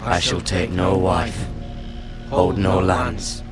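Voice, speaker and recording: An actor playing an earnest young recruit of a sworn watch in a video game drama. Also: male voice, low-pitched, monotone, slow pace, over loud music. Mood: solemn.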